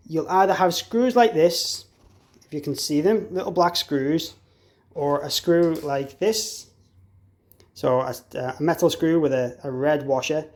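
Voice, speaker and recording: A man's voice: fairly high pitch, a little mellow. A man giving an informal, explanatory hands-on product tutorial as an installer. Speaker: installer demonstrating an intercom product.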